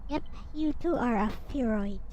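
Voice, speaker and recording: A woman's voice: on the high side, normal speed, warm tone, lo-fi sound. A woman giving casual, musing livestream commentary on a visual novel, rambling as if to herself. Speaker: streamer playing a visual novel. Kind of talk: casual livestream commentary with bits read aloud. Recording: clear background noise.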